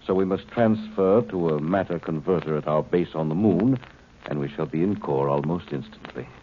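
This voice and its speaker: A cold alien overseer, voiced by a man with a very low, deep voice, lecturing his captives in slow, superior tones. The sound is thin and tinny like an old broadcast, with a faint hiss beneath. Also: close to an everyday voice. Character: cold calm male alien overseer, condescending. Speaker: alien captor. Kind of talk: 1950s radio drama acting.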